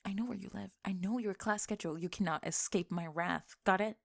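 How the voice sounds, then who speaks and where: A woman acts out a young tomboy best friend in an intimate ASMR roleplay. She has a mid-range female voice and talks in a bossy, teasing way. Her halting, defensive stammer shows how flustered and secretly smitten she is. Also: mid-range voice, vocal fry, natural voice. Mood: bossy, nervous.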